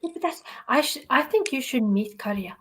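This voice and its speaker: A young woman with a Norwegian accent hosting a casual livestream interview, bubbly and encouraging, quick to laugh. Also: high-pitched, lively intonation, a bit bright, slightly rough. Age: young adult. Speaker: host.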